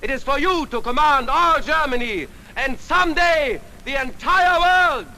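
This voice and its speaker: An actor playing a fanatical Nazi orator, declaiming menacingly and emphatically in a 1940s film style. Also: male voice, very high-pitched, lively intonation, a little slow, pushed and bright, exaggerated voice. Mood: grandiose. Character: fanatical Nazi party orator stirring up a crowd. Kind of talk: 1940s film acting, rabble-rousing political speech. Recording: noisy old film soundtrack.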